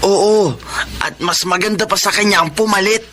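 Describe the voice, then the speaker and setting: High-voiced insolent young man, noisy track. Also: fairly even intonation, normal speed, bright, forceful tone. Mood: scheming. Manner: insolent, demanding, bullying. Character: spoiled, menacing young adult son hooked on drugs. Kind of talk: Filipino radio drama acting.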